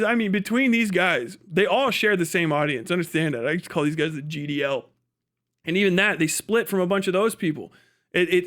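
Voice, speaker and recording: A man's voice: high-pitched, fairly bright tone. A man giving casual livestream commentary in an emphatic, rambling, profane way. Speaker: streamer.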